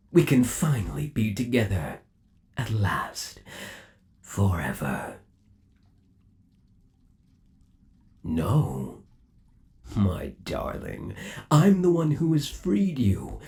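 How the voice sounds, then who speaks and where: A man acting as an obsessively devoted undead animatronic lover in an ASMR roleplay. His low voice moves slowly and swings widely in pitch. He coaxes theatrically, savoring every endearment with eerie tenderness. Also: darkish tone, full character voice. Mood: tender, triumphant. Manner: theatrical, coaxing, lingering on endearments.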